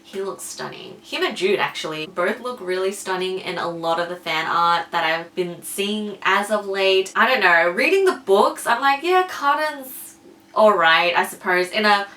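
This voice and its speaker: Woman, bright crisp-edged tone, casual book vlog chat, self-conscious, echoey room, a little away from the mic. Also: medium pitch, expressive, moderate pace. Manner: self-conscious, giggly asides with hedging. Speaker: book vlogger. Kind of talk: casual chatty book review to camera. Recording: roomy echo, distant from mic.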